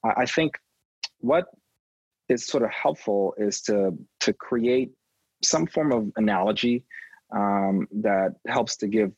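A man from Trinidad and Tobago with a low, husky voice answers interview questions thoughtfully. He pauses on ums and restarts his words as he thinks. The sound is dull, lo-fi and dry. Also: a little mellow, no echo. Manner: reflective, frequent ums and repeated words. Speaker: guest, education innovation consultant. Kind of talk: conversational interview.

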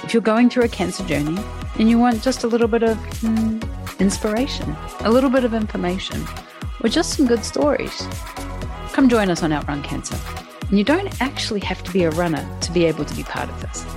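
A woman's voice: mid-range voice, lively intonation, fairly quick, creaky, music in the background. A woman in her forties hosting a podcast. She chats casually with her husband and laughs often. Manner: warm and chatty, laughs often. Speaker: podcast host.

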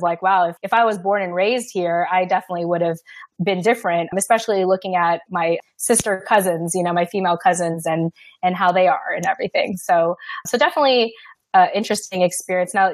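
A woman's voice: lowish voice, dry recording. A woman hosting an interview, asking casual questions with many "like" and "kinda" fillers, and sharing her own Filipino American experience. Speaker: interviewer and host.

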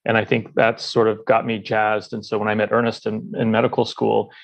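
A man's voice: lowish voice, monotone, a little fast, gravelly, highs cut off. A middle-aged man giving conversational answers as an interview guest. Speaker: podcast guest, company founder.

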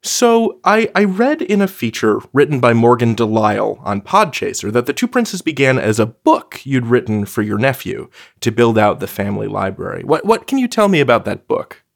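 Male interview host, highly varied pitch, animated and emphatic, conversational podcast delivery. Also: normal speed, creaky, few pauses. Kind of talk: hosted introduction and conversational interviewing.

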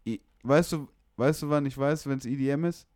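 A man's voice: mid-range voice, normal speed, a little mellow, no echo, clean studio sound. A young man from northern Germany chatting casually about music, slangy, blunt and opinionated. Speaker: music producer, podcast guest.

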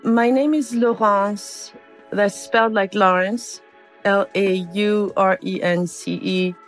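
A woman's voice: mid-range voice, steady tone, takes it slowly, faint music behind. A woman from France with a French accent, a farmer helping run an orchard, speaking frankly and reflectively in an interview. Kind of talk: casual interview talk.

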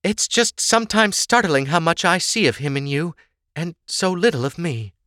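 A worried noble mother in an audiobook tale, played by a man. The matriarch speaks in a raised, lively pitch, loving and coaxing in her manner. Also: moderate pace, no room sound, clean studio sound. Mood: tender.

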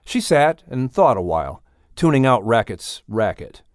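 A man's voice: mid-range voice, big pitch swings, moderate pace, no room sound, clean studio sound. A man reading a children's story aloud as a scripted audiobook narrator.

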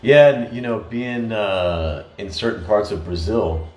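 Man, American accent, fairly low voice, animated storytelling, echoey room. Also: unhurried. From United States. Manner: storytelling, animated, many restarts. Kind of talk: casual conversation.

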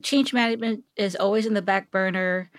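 A woman's voice: mid-range voice, fairly even intonation, fairly quick. A middle-aged woman from Seoul speaking conversationally as a guest in an interview, given to laughing. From Seoul, South Korea. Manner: laughs often. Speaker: podcast guest, technology executive.

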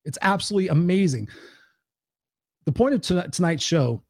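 Hoarse, profane man hosting a live stream. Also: high voice, brisk, no room sound, studio quality. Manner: profane, energetic, rambling. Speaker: host and life coach. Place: home.

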